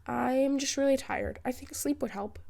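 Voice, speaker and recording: Woman voicing a gravelly, grumpy spirit in a boy's body. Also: high-pitched, fairly even intonation, moderate pace, darkish tone, pitched above the performer's own voice. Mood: awkward, evasive. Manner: sardonic, awkward, evasive. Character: adult spirit trapped in a child's body, grumpy, sardonic.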